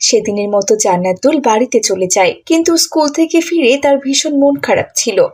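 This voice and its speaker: A woman's voice: a little high, animated, brisk, noisy background. A woman narrating a scripted cartoon story as its storyteller.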